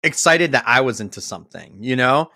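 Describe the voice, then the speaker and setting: A man's voice: medium pitch, lively intonation, brisk, bright, forceful tone, no room sound, studio quality. A man from Massachusetts, a guest musician on a podcast, telling stories in a rambling casual way full of fillers and restarts.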